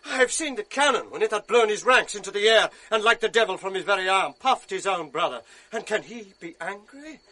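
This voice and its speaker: An actor playing a sly, scheming soldier in a radio drama, smooth and insinuating. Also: male voice, high voice, animated, a little fast, few pauses, pushed and bright, heavily acted. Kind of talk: 1980s radio drama acting.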